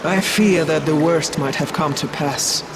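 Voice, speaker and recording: A woman's voice: deep voice, measured pace, noisy background. An actress playing a regal, wrathful dragon queen and mother in a video game, imperious and solemn. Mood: grave worry.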